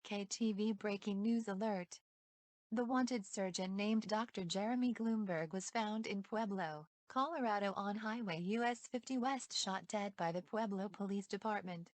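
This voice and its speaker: A woman's voice: medium pitch, normal speed, darkish tone, no room sound. Dry-sounding female news announcer. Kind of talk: scripted breaking news report.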